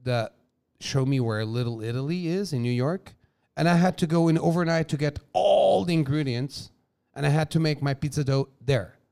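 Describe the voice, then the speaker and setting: Smooth-voiced man telling stories. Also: on the high side, moderate pace, few pauses. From Portugal. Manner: animated storyteller, non-native phrasing. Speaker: pizza chef guest. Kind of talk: conversational interview storytelling.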